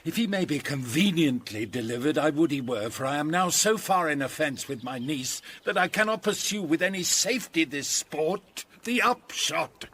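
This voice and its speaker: An actor playing a scheming, roguish knight who relishes a prank, theatrical in a classical radio drama. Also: male voice, fairly high pitch, expressive, normal speed, hardly any pauses, a bit bright, slightly rough. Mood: conspiratorial. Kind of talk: classical radio drama acting in Shakespearean English.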